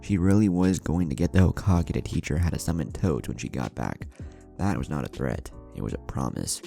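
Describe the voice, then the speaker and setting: A man's voice: very deep voice, lively intonation, a little fast, vocal fry, warm tone. A man reading scripted fan fiction as a story narrator, with music playing underneath. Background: background music.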